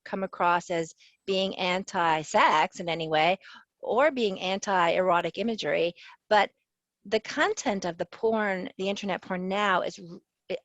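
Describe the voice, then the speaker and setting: A woman's voice: fairly low pitch, steady tone, measured pace, fairly bright tone, dry recording, muffled recording. A woman sociology professor from the American South, answering interview questions earnestly and thoughtfully, often laughing. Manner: earnest, thoughtful, laughs easily, restarts phrases. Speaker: sociology professor and author, guest.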